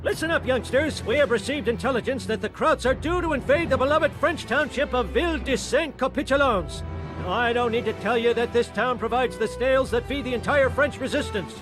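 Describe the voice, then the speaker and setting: An old army commander with an extremely high cartoon voice. He briefs his troops grandly and sentimentally over clearly audible game music. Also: lively intonation, fairly quick. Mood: commanding. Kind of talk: cartoon war-briefing parody acting.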